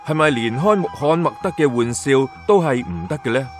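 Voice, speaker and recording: A man's voice: medium pitch, expressive, a little fast, soft background music. A man with a Hong Kong Cantonese accent narrating scripted radio commentary and programme previews.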